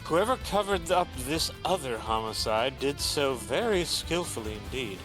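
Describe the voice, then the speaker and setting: A man's voice: medium pitch, lively intonation, pitched below the performer's own voice, natural voice, low-quality recording. A man voicing a formal, theatrical male prosecutor. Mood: dramatic. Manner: measured, dramatic reveals. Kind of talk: fan dub of a game. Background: game music.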